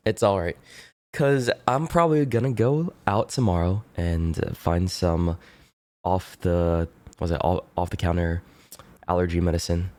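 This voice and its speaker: A male streamer chatting casually with viewers, sounding stuffy and congested, rambling and swearing in a laid-back way while clearing his throat often. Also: deep voice, unhurried, dark tone.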